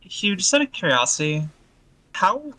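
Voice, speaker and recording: A man's voice: high-pitched, sing-song, a little slow, sharp tone. A man from the northern United States bantering casually as a tabletop game player.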